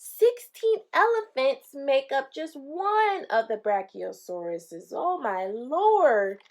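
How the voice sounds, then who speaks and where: A woman reading a children's picture book aloud, pausing to explain things simply to young listeners. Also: high voice, sing-song. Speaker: read-aloud storyteller for children.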